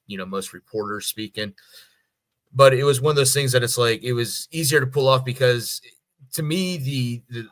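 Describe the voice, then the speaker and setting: A middle-aged man talking casually as a voice actor guest on a podcast, telling stories in an animated way. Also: mid-range voice, dry recording.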